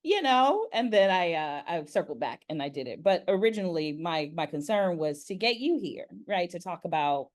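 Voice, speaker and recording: A woman hosting a casual interview as an acting coach, talking in an effusive, animated storytelling way. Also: fairly low pitch, normal speed, hardly any pauses.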